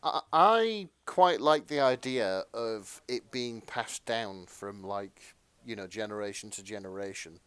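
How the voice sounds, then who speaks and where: A man's voice: on the low side, lively intonation, a little slow, hardly any pauses, fairly bright tone, clean tone, no echo. A man co-hosting a podcast in casual chat, a little hesitant and repeating words as he goes. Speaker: podcast co-host.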